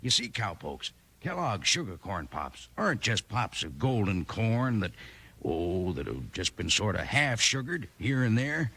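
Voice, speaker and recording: A man's bright, forceful voice with a rough, gravelly edge and animated pitch delivers a folksy 1950s radio ad for kids. He sounds enthusiastic, on a noisy old recording. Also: a little slow. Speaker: commercial pitchman.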